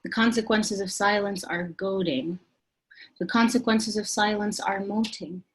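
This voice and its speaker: A woman reciting her own poem on a video call, steady and rhythmic. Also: medium pitch, a little slow, husky, poor audio. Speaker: workshop participant. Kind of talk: reading her poem aloud.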